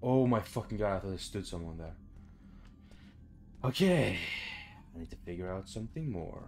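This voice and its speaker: A man's voice: deep voice, animated, normal speed, a little mellow. A young man doing casual horror game commentary, muttering to himself in short phrases. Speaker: gamer, let's play host. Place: small room at home.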